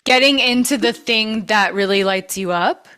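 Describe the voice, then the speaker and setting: A woman's voice: on the high side, normal speed, sharp tone, smooth. Earnest, vocally trained woman coaching. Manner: earnest, emphatic, repeats key words. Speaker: masterclass host. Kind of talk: casual coaching talk.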